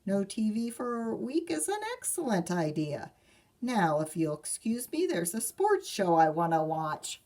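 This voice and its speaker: A woman's voice: mid-range voice, sing-song, measured pace, a little mellow. Lady doing a blustery papa bear from a kids book, emphatic, kinda huffy. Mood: lecturing. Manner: emphatic, protesting. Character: blustery, protesting father bear, fond of TV. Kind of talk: children's picture book read-aloud.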